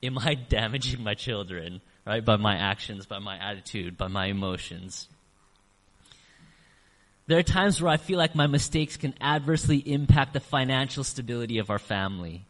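A middle-aged man preaching a sermon as pastor, earnest, slowing down to stress key phrases. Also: medium pitch, animated, a bit bright. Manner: earnest, varies emphasis, slows on key phrases. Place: church sanctuary. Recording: long reverb of a church hall.

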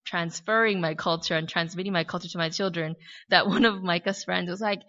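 Woman pastor, American accent, bright, clear voice. She preaches conversationally, asks searching questions and adds wry asides. Muffled, lo-fi sound. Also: lowish voice, steady tone, a little fast, long reverb tail. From born in Korea, raised in the US. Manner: reflective, asks many questions, light humorous asides. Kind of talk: conversational sermon. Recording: dull lo-fi recording.

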